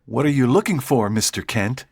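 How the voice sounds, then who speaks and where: A woman voicing a brave teenage schoolboy who speaks calmly and earnestly. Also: rumbling low, moderate pace, vocal fry, slightly rough, no room sound, clean studio sound, pitched below the performer's own voice, full character voice. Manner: calm and earnest.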